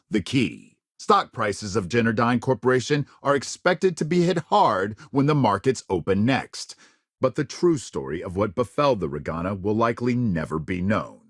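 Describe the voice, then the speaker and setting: A polished male news anchor from a sci-fi game. He delivers scripted bulletins with grave authority and theatrical emphasis. His mid-pitched voice swings widely for effect, and the sound is dry and close. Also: no echo.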